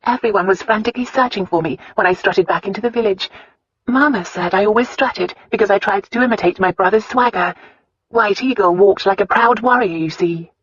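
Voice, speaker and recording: A woman voicing a spirited, candid young wife with an earnest, expressive way of talking in a romance audiobook. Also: medium pitch, brisk, slightly rough, no room sound, muffled recording, voice pushed up, lightly acted. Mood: fond, animated.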